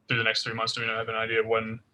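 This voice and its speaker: Man with a flat, sharp voice. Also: fairly low pitch, rapid-fire. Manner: plain questioning. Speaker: board member asking questions. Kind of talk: meeting discussion.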